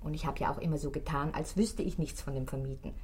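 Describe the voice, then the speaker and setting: Eine Schauspielerin spielt in einem deutschen Hörspiel eine geschwätzige, ausweichende Concierge, die zögernd und vertraulich redet. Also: female voice, low voice, steady tone, fast, darkish tone, slightly rough. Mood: evasive, confiding. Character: gossipy, evasive, money-minded Parisian concierge woman. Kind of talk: German radio play acting.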